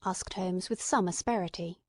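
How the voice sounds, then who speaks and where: A woman's voice: lowish voice, moderate pace, a little mellow, dry recording, clean studio sound. A woman reading scripted literary narration as an audiobook narrator.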